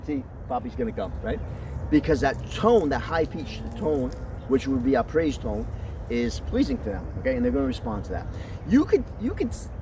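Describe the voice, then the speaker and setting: A man with a deep voice explaining how to train his dog, in a casual, conversational way that keeps checking in with "okay?". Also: very animated, moderate pace. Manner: conversational, often checks in with "okay?", jumps to a high praise voice. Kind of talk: casual outdoor training talk. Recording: distant mic with outdoor street noise.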